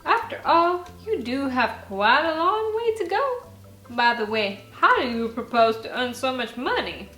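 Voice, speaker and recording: A woman voicing a sensible, gently teasing father in a bedtime story, with a measured, reasoning manner. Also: high voice, very animated, full character voice. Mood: doubtful, teasing. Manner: measured, reasoning, mildly doubtful. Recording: roomy sound with faint music behind.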